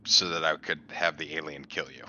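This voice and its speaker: Casting: man, extremely deep yet sharp, forceful voice, casual game player, dull lo-fi recording with faint noise. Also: steady tone, fairly quick. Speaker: tabletop roleplaying game player. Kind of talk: casual chat.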